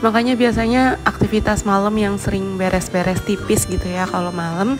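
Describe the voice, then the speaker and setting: Homemaker vlogger, young woman, nasal and hoarse from a cold, chatty with small laughs, music bed underneath. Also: mid-range voice, steady tone, normal speed. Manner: chatty, friendly, with little laughs and fillers. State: stuffy nose and hoarse from a cold. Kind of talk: casual voice-over narration. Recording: voice-over with clear background music.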